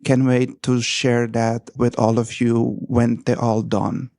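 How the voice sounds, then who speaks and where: Measured man speaking in a creaky, even voice. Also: mid-range voice, no echo. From Haiti and Curacao. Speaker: guest, visual artist. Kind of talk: personal introduction and reflection.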